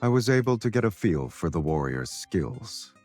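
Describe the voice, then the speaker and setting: A man playing a calm, confident, teasing romantic hero in a game, speaking in a composed, intimate way. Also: sits low, normal speed. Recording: music behind the voice.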